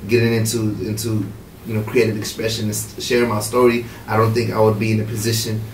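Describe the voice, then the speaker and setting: A young man in his twenties, from Morocco and speaking, talks in a low, even voice as a guest in a radio studio, stopping and restarting often. The sound is roomy and picked up from a distance, with a light hiss behind it. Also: fast talker. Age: 20s. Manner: relaxed, many fillers and restarts. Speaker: hip-hop artist guest. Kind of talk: casual radio interview. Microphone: studio mic with headphones, heard from a far camera. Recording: roomy, distant camera audio with faint noise.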